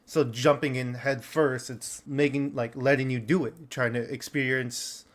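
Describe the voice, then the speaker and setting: A man's voice: mid-range voice, steady tone, a little slow. Young male host, casual, hesitant delivery with lots of fillers. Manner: hesitant, filler-heavy, loose phrasing. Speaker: podcast interviewer host. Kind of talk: casual interview questions.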